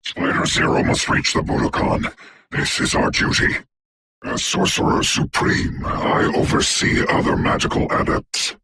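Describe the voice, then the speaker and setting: An actor voicing a commanding, haughty dark sorcerer for a video game, speaking in a formal, grandiose, deliberate way with a gravelly, breathy voice. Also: male voice, noisy background, natural voice. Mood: grave, urgent. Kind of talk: theatrical hero game voice lines.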